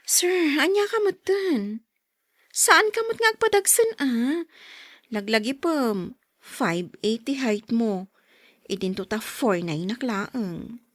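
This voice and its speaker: A firm, pushy but caring Ilocano caregiver, played by a woman, with a high voice that swings widely and has a breathy rasp. The style is radio drama. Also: animated, slow, dry recording, studio quality. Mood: prodding.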